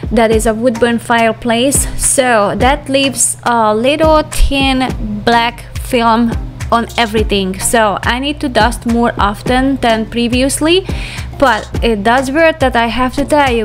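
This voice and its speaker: Higher-pitched woman narrating over music. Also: slow pace. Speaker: home vlogger narrating a cleaning routine. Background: background music.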